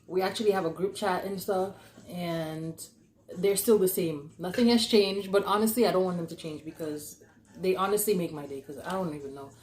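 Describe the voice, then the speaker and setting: A woman's voice: lowish voice, moderate pace, darkish tone. Young woman storytelling in an echoey room. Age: young adult. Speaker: podcast host telling stories. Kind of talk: casual conversational storytelling. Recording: echoey, roomy sound.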